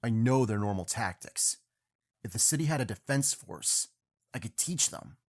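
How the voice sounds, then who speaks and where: A man playing a grim, troubled hero in an audio drama, with a husky, hushed and weary delivery. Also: fairly low pitch, lively intonation, normal speed. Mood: grim concern. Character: grim, troubled heroic male rider warrior.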